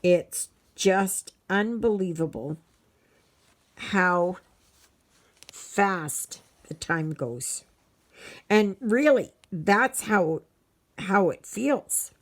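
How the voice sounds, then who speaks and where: A woman in her sixties from Canada hosts a stitching channel at home. She chats casually while she works, slow and reflective, rambling with many fillers and murmuring softly to herself. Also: medium pitch, expressive. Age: 60s.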